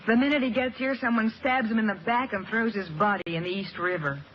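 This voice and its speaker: An actress playing a quick-witted, outspoken American detective's assistant in a 1940s radio drama, pert, teasing and emphatic. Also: female voice, on the high side, natural voice. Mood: keen, wry. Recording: muffled, noisy old radio recording.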